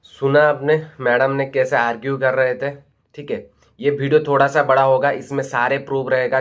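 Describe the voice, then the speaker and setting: A man giving informal exposé commentary to viewers as a vlogger, often checking in with his listeners. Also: mid-range voice. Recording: dull lo-fi audio.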